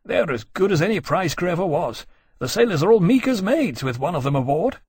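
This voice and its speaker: A man's voice: sits high, big pitch swings, a little fast, darkish tone, slightly rough, no echo, studio quality, pitched above the performer's own voice, exaggerated voice. A man voicing a harried military captain, frank, rueful and easily embarrassed, speaking emphatically in an audiobook story.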